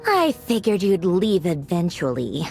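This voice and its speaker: Snooty proud game villain girl, sharp tongue, bossy, voice swoops all over. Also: lowish voice, big pitch swings, measured pace, vocal fry, soft background music, heavily acted. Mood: cool, taunting. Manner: imperious, dismissive, theatrical. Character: haughty, sharp-tongued young woman, a proud machine-building villainess. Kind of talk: video game voice acting.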